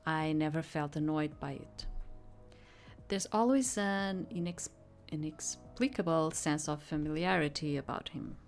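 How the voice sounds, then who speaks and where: A woman's voice: deep voice, measured pace, soft background music. A woman reading a visual novel aloud and commenting casually, sometimes stumbling over her words. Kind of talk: read-aloud story narration with casual commentary.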